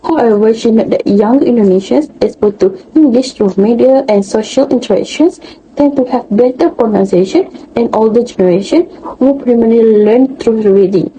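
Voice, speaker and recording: A woman's voice: fairly high pitch, slight background noise, rough recording. Young woman reading a class report. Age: young adult. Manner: reading in a flat, careful way. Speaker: student presenter. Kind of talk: read presentation.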